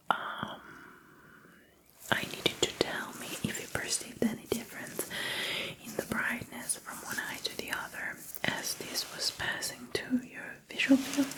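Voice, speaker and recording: A woman whispers slowly and breathily, playing a doctor in an ASMR exam. Her delivery is gentle, with simple instructions that she often repeats. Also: on the high side, rough recording. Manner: gentle, slow, repetitive instructing. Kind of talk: ASMR medical exam roleplay.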